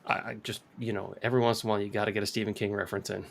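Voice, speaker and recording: A man's voice: lowish voice, little pitch movement, rapid-fire, vocal fry, a little hiss or hum. A man co-hosting a music podcast, casually discussing songs and repeating words as he explains. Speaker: podcast co-host.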